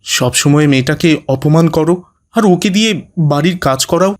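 A man's voice: fairly high pitch, moderate pace, close to an everyday voice. A man voicing a caring, troubled working father in a cartoon, speaking earnestly with some reproach. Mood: reproachful. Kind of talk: animated moral cartoon voice acting.

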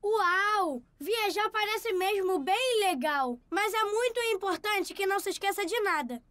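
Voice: very high voice, expressive, a little slow, no echo, exaggerated voice. A performer with a Brazilian accent voicing a cheerful, polite little boy in a children's cartoon dub. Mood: eager. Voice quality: bright, childlike. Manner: eager, courteous.

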